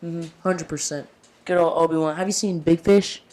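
A young woman with a deeper voice than expected, a podcast guest in casual, profane, joking chat who laughs often. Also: steady tone, normal speed, dark tone.

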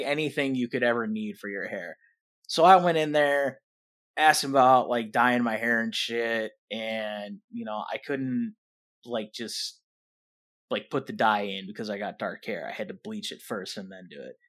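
A man's voice: medium pitch, fairly bright tone. A young man co-hosting a podcast, telling a rambling story in casual chat, full of fillers and swearing. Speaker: podcast co-host.

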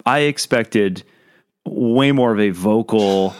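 A man's voice: fairly low pitch, slow, a little mellow, smooth, no echo, studio quality. A man hosting a podcast interview, encouraging his guest with frequent short affirmations. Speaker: podcast host.

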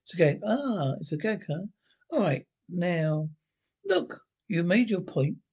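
A man's voice: high voice, big pitch swings, a little slow, a little mellow, breathy edge, full character voice. A man doing a snarling washed-up jockey. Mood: startled. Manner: snarling, defensive. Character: bitter, boastful short male ex-jockey.

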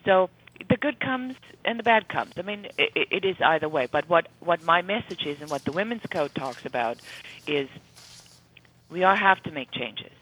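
A woman's voice: on the low side, expressive, moderate pace, a bit bright, slightly rough, rough recording. A woman speaking earnestly and emphatically as a guest in a conversational radio interview.

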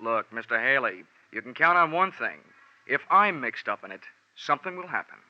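An actor playing a wry, hard-boiled American insurance investigator in a 1940s radio drama, narrating with dry, deadpan wisecracks. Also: male voice, mid-range voice, normal speed, lightly acted. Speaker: lead actor narrating as the detective. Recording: muffled 1940s radio recording with music.

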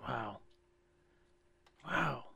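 A man co-hosting a podcast in casual chat, reacting with short replies and quick laughs. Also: mid-range voice, creaky, bright, forceful tone, breathy edge, dry recording. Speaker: podcast co-host.

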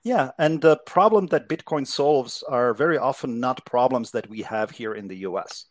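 A man's voice: medium pitch, moderate pace, no echo. A middle-aged man from Czechoslovakia, a native Czech speaker, explaining things as an interview guest. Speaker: interview guest, crypto investment executive.

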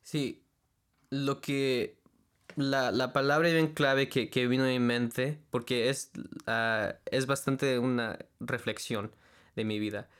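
Young man, 20s, from California, smooth voice, hesitant guest. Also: mid-range voice, steady tone, a bit bright. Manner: halting, searching for words with many fillers. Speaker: interview guest, student and church worship leader. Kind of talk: casual interview conversation.

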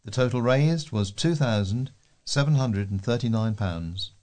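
A man's voice: medium pitch, animated, measured pace, darkish tone. A man reading local newspaper letters aloud as a volunteer newsreader.

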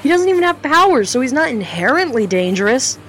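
A woman's voice: sits high, very animated, a little fast, a bit bright, slight background noise. A woman playing an earnest, nervous new facilitator. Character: eager young woman, new foundation facilitator. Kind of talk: audio drama acting.

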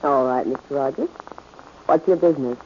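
A woman's voice: rumbling low, normal speed, mellow. Female role, vintage radio crime drama: brusque, hard-nosed gambler, muffled hissy recording. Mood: brusque, guarded. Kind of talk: 1940s radio acting.

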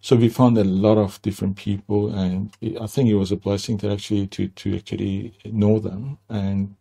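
An older man from Iran with a Persian accent, telling his life story as an interview guest in a halting way, with many restarts. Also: lowish voice, flat delivery, gravelly, warm tone, slightly rough.

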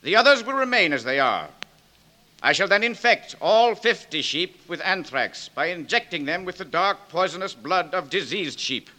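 A sharp, high-pitched, haughty doctor. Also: male voice, expressive, moderate pace. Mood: defiant. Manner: formal, declamatory, precise. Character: haughty, challenging male medical academician. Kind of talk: 1940s radio drama acting.

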